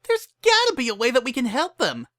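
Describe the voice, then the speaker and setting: A narrator voicing an excitable, cheerful young female party pony in a bubbly, insistent manner. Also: high-pitched, fairly quick, no echo, clean studio sound, voice pushed up, heavily acted. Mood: eager, bubbly.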